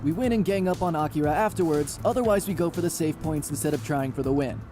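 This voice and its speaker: A man's voice: on the high side, quick pace, warm tone, soft background music, lightly acted. A man playing a matter-of-fact mecha-pilot teammate in a visual novel game, clipped and tactical. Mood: businesslike.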